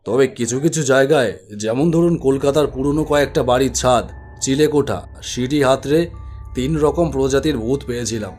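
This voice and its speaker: A man's voice: medium pitch, moderate pace, darkish tone, soft background music. A man narrating a spooky cartoon story in a dramatic, suspenseful way.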